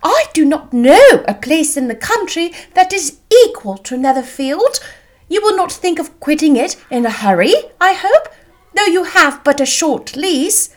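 Fussy middle aged mum from an old novel, super high, gushy, all over the place. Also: female voice, sing-song, measured pace. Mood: gushing. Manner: effusive, exclamatory. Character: fussy, gushing, self-important middle-aged mother.

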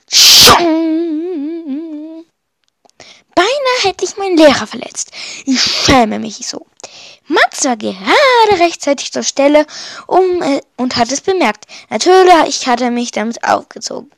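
Lady doing a little villager kid, high voice, super earnest dramatic wannabe warrior, storybook style. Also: a bit bright, no room sound, full character voice. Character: young villager boy, mocked noob, eager would-be warrior.

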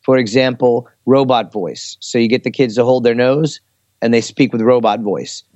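A man chatting casually and giving teaching advice, explaining things in a hesitant, halting way. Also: medium pitch, a little mellow, dry recording. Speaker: English teacher in Korea, talk show speaker. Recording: slightly dull, lo-fi audio.

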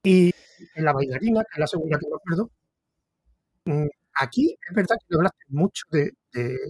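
Un hombre de Córdoba con acento andaluz, anfitrión de un podcast, que entrevista en tono de charla y divaga con muchas dudas y reinicios. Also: male voice, on the high side, expressive, normal speed, husky, no echo, clean studio sound. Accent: Andalusian Spanish. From Córdoba, Spain. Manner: rambling, hesitant, restarts thoughts. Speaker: podcast host interviewer. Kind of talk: casual interview conversation.

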